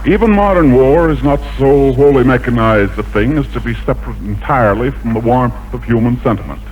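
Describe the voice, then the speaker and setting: Male 1940s radio announcer: middle register, measured and formal scripted delivery, muffled period broadcast sound. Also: medium pitch, noisy background. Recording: dull vintage 1940s radio recording.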